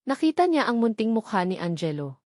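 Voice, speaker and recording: A woman with a Filipino accent reading a scripted romantic drama as a narrator, in a measured, solemn storytelling manner. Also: on the high side, big pitch swings, a little mellow, dry recording, clean studio sound.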